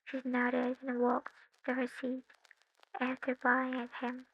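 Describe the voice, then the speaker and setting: Slow, flat-voiced woman narrator. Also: high voice, breathy edge, highs cut off. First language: not English. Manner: slow, even storybook reading with occasional slips. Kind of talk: reading fan fiction aloud.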